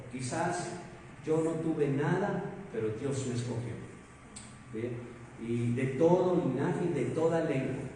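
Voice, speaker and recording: A man with a Mexican Spanish accent preaching a sermon as pastor, asking rhetorical questions and swinging between loud emphasis and soft asides. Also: fairly high pitch, a little hiss or hum. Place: church hall. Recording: echoey hall, mic far off.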